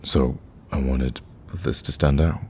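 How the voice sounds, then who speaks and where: A man playing a lovestruck villain in an ASMR romance roleplay, awkward yet cocky, speaking softly and intimately with hesitant stammers. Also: very deep voice, fairly even intonation, slow pace, a little hiss or hum. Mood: anxious, apologetic.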